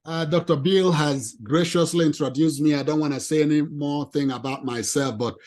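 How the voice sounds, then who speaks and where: A man with a non-native English accent giving an animated, emphatic presentation to a professional audience. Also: fairly high pitch, moderate pace. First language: not English. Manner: emphatic, lively, addresses ladies and gentlemen.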